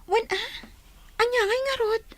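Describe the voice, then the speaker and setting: A woman's voice: extremely high pitch, unhurried, fairly bright tone, no echo. A woman playing an outspoken, sympathetic young village friend in a radio drama, with an Ilocano accent. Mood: concerned.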